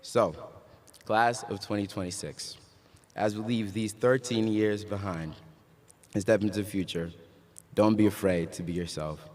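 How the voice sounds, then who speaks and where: Young man from Ghana, very deep voice, long hall reverb, joking then earnest graduation speech. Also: fairly even intonation, a little slow, long pauses, darkish tone. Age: young adult. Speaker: graduation speaker. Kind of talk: commencement speech. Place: large hall.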